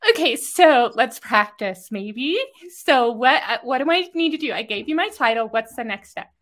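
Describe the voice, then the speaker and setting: A middle-aged American woman hosting an interview, chatting and telling stories in an animated way with asides. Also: fairly high pitch, moderate pace. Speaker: host interviewing a guest. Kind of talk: conversational interview and personal storytelling. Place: home office, over a video call.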